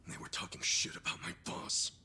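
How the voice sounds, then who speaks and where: An actor playing a fiercely loyal, hot-headed yakuza convict in a video game dub, gruff and defiant. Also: male voice, brisk, sharp tone, whispered. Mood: stubborn, indignant.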